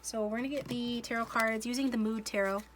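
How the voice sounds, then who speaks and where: A woman with a cold giving a casual tarot reading, musing along slowly with many fillers and restarts. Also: fairly high pitch, steady tone, a little hiss or hum. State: coming down with a cold, sniffling. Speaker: tarot reader.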